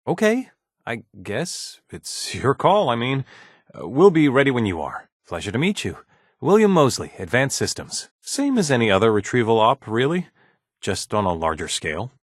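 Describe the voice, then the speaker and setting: A man's voice: mid-range voice, breathy edge, no room sound, clean studio sound. An actor playing a polite, slightly nervous, officious male scientist in a video game, speaking in a formal and somewhat hesitant manner. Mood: businesslike. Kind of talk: scripted game dialogue lines.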